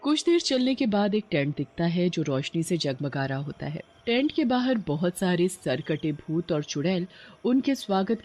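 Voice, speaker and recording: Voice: mid-range voice, darkish tone. A narrator reading a scripted horror story in a dramatic storytelling style.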